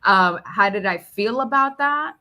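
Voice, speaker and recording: Slow-talking woman with a bright, forceful voice. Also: medium pitch, lively intonation. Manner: emphatic, halting, thinking aloud in short broken phrases. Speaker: podcast host sharing personal reflections on grief. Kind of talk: candid reflective conversation.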